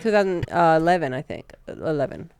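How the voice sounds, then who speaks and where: Smooth-voiced woman recapping a movie. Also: deep voice, sing-song, moderate pace, a little mellow, dry recording. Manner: chatty, rambling with fillers, laughs easily. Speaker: podcast co-host.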